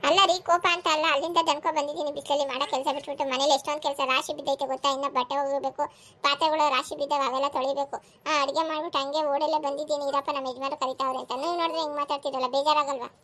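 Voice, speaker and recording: A woman voicing an overworked, sharp-tongued village housewife and mother in a family cartoon, scolding and complaining with a rural southern Karnataka accent. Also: high voice, steady tone, rapid-fire. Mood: hurt, complaining. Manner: scolding, complaining, rhetorical questions.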